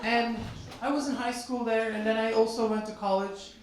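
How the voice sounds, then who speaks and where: A man in his forties from Turkey with a Turkish accent, doing stand-up comedy and chatting with the crowd in a lively, teasing way. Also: very high voice, moderate pace. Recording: very echoey, noisy room.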